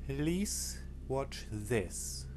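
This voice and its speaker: A man whose first language is German, talking over a game menu in halting phrases that break off mid-sentence. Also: medium pitch, animated, darkish tone. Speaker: let's play commentator. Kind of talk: game commentary with disclaimers. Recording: faint game menu music behind.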